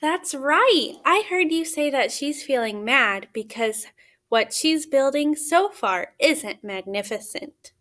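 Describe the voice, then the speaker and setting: High-voiced woman reading a picture book aloud. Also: expressive, a bit bright. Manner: simple questions with pauses for answers. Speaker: teacher reading aloud to children. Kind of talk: picture-book read-aloud with questions to young children.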